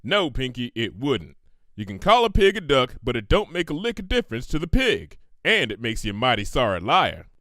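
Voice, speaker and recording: A man's voice: mid-range voice, huge pitch swings, a little fast, sharp tone, no room sound, studio quality. A man voicing a proud, stubborn female country pony in a cartoon story, with a folksy Southern US drawl. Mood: defiant.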